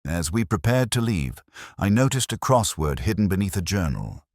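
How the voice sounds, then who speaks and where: A man with an extremely deep voice narrates a detective mystery in the first person. His delivery is solemn and measured, with lively movement in pitch across carefully literary sentences. The sound is close and dry, free of room echo. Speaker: audio drama narrator.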